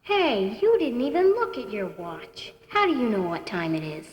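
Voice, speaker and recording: A woman's voice: fairly high pitch, very animated, normal speed, lo-fi sound. A woman voicing a worried eleven-year-old schoolgirl in a children's puppet show, flustered and exclaiming. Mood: puzzled. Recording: echoey hall.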